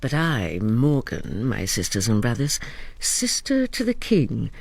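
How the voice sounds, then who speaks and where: A woman's voice: a bass voice, huge pitch swings, measured pace, vocal fry, no echo, full character voice. An actress playing a determined, self-important sister of a king, declamatory and self-assured, narrating in a radio drama. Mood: defiant.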